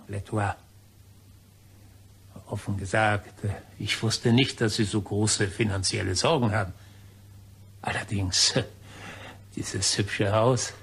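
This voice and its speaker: Man playing husky, stern, self-righteous office colleague. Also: fairly low pitch, a bit bright, full character voice. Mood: accusing, measured. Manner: formal, deliberate, insistent. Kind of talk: crime radio play acting.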